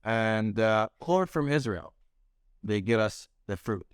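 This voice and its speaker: Palestinian guy telling his story, low smooth voice, trips over words a lot. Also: expressive, slow, no room sound, studio quality. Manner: searching for words, frequent restarts. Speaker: restaurant owner. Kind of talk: casual interview. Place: small restaurant room.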